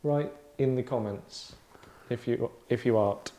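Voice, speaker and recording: A man hosting an online table read in casual, wry chat, with a deadpan, mock-formal manner. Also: medium pitch, a little slow. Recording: roomy echo.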